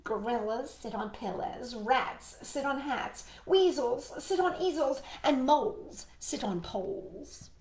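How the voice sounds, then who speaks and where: A woman plays a bossy, know-it-all cat from a children's storybook. The pronouncements are slow, emphatic and sing-song, swinging widely in pitch. The sound has a roomy echo. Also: animated, darkish tone, heavily acted. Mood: bossy, matter-of-fact. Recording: echoey room.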